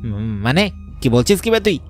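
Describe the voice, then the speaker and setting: A man voicing a brash young village cattle thief in a horror cartoon, blustering in rough slang but cowardly underneath. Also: mid-range voice, big pitch swings, normal speed, faint music behind, lightly acted. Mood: nervous.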